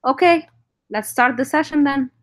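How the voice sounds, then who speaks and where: A woman with a Lebanese accent leads an online tech session. Her voice sits on the high side. She presents informally, speaking directly to the people watching the stream. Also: normal speed. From North Lebanon.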